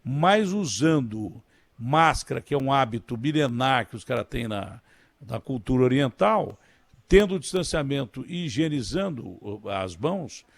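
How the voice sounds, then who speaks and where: A man's voice: medium pitch, big pitch swings, a little slow, creaky, no echo. A man with a Brazilian Portuguese accent hosting a radio interview, speaking in a conversational, emphatic way. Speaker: radio host.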